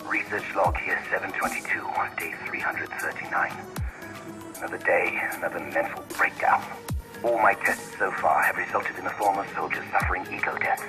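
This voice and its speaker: An older man playing a cold, obsessive imperial scientist, dictating research logs in a formal, grandiose manner with a raspy voice. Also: deep voice, fairly even intonation, normal speed, over music, natural voice. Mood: grim. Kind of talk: dictated log, dramatic game acting.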